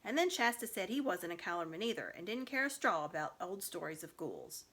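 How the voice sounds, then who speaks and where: A woman giving an expressive scripted narration of a children's story. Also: lowish voice, a little fast. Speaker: storybook reader.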